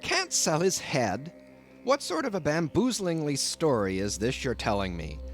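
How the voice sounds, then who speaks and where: Man acting an indignant, excitable lodger, very animated. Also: on the high side, measured pace, faint music behind, pitched above the performer's own voice. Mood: incredulous, indignant. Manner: pompous, emphatic, formal phrasing. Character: indignant, wordy young male lodger, excitable. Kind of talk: audiobook character voice.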